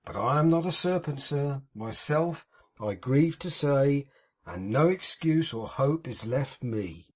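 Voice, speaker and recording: A man voicing a pompous, sanctimonious middle-aged gentleman in an audiobook, speaking in a grand, moralizing manner with a husky tone. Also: mid-range voice, animated, slow pace. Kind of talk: audiobook character reading.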